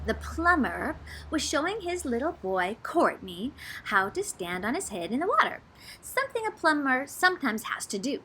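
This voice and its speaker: Female storyteller for children's picture book, high bright voice, theatrical and suspenseful, noisy recording. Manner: theatrical, expressive, drawn-out suspense. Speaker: drama teacher reading a children's book aloud. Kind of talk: dramatic, animated children's storytelling.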